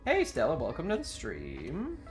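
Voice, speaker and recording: A man's voice: fairly high pitch, huge pitch swings, normal speed, faint music behind. A man chatting casually on a game livestream, with slangy, sweary banter. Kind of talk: casual game stream chat.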